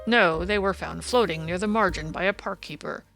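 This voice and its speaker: A woman voicing a gruff, irritable policeman in an audiobook, snarling and emphatic with indignation. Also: fairly low pitch, normal speed, fairly bright tone, faint music behind. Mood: bitter. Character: gruff, irritable, blustering male police detective.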